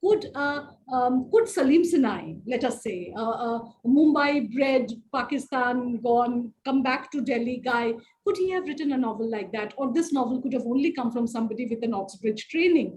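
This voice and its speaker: Lady with a high voice talking books, lots of ums and restarts, faint hiss behind. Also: lively intonation, moderate pace, hardly any pauses, a little mellow. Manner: hesitant, many fillers and restarts; polite, addresses others as ma'am. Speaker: speaker answering questions in a literature discussion. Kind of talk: academic discussion, answering questions.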